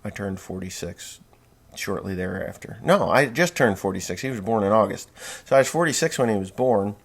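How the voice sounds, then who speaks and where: A man's voice: on the low side, lively intonation, a little fast, vocal fry. A man in his forties, from New Jersey, talking bluntly and folksily in a rambling monologue, with many fillers and restarts. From New Jersey, USA. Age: 40s. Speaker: podcast host.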